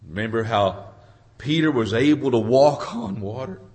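A hoarse man preaching an informal sermon in a halting, folksy way, searching for his words and clearing his throat. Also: medium pitch, animated, a little mellow. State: hoarse from a cold. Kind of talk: informal sermon with congregation responses.

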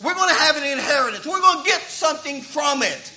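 A man's voice: very high-pitched, lively intonation, fast, pushed and bright, poor audio. A man, preaching a sermon in a folksy way that repeats words as he starts thoughts. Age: 50s. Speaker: pastor.

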